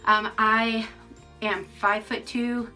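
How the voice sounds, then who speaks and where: Bright-voiced chatty woman in her forties. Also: fairly high pitch, little pitch movement, slow pace, faint music behind. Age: 40s. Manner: chatty, frank, many ums and restarts. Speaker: vlogger. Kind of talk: casual vlog update to camera. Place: rural home.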